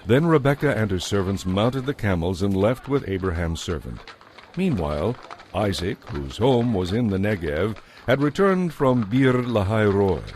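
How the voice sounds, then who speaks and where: Low-voiced man narrating scripture. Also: sing-song, normal speed, a little mellow, a little hiss or hum. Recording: music behind the voice.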